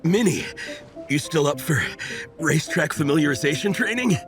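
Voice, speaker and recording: A man's voice: a little high, lively intonation, normal speed, music in the background, natural voice. A man playing a tired, laid-back young team member in a video game, grumbling wearily. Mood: concerned. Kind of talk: video game cutscene voice acting.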